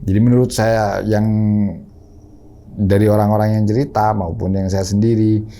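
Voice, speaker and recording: A man's voice: lowish voice, level tone, moderate pace, mellow. A middle-aged man, a guest on a studio podcast, recounting his experiences in a polite, hesitant way, often repeating himself. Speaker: canteen manager guest. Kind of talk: casual interview chat.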